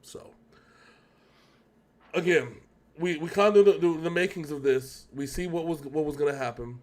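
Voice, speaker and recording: A man with a somewhat high-set voice co-hosts a casual reaction show. He talks at length in a rambling, conversational way, doubling back to correct himself. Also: moderate pace, darkish tone. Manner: rambling, talkative, self-correcting. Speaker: co-host of a music reaction show.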